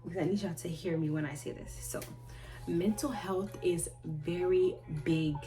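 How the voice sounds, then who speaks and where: A woman in her twenties answering viewer questions in a rambling, halting way, often restarting and trailing off. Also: fairly low pitch, fairly even intonation. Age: 20s. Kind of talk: casual chat. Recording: loud music playing behind her.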